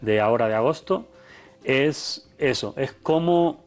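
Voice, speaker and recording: A man's voice: mid-range voice, animated, measured pace, faint music behind. Argentine man, faded accent, reflective interview guest. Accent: Argentine, softened. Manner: thoughtful, many restarts and tag questions. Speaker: photographer guest. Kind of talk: conversational interview.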